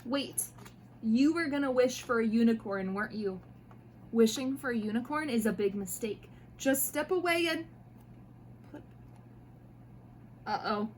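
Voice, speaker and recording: A woman with a high voice reads a children's picture book aloud. Her delivery is expressive and playful, aimed straight at young listeners. There is faint noise behind her. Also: unhurried, pauses often. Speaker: mother reading picture books aloud to children. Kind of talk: animated storybook read-aloud.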